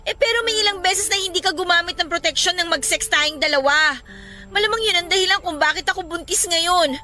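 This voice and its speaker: A woman's voice: very high voice, a little fast, pushed and bright, faint music behind. A woman playing an irritable, anxious young pregnant girlfriend in a Filipino radio drama, emotional and accusing. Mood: irritated, anxious.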